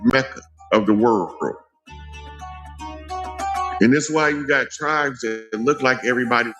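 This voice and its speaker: Casting: American male guest, mid-pitched, declarative and emphatic informal lecturer, soft music behind. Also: unhurried. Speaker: interview guest. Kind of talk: conversational spiritual history lecture.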